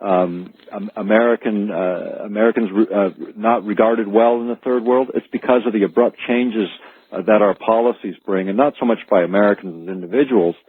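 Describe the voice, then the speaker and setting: A man speaking as an expert guest on a radio call-in show, explaining things in a halting but emphatic way. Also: on the low side, fairly even intonation, slightly rough, dry recording. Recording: tinny phone-like radio line.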